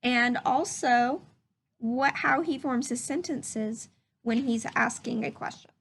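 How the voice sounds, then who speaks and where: A woman's voice: a little high, slow pace, creaky, a bit bright, slight background noise. A woman teaching young children through a read-aloud, explaining things simply and putting questions to her listeners.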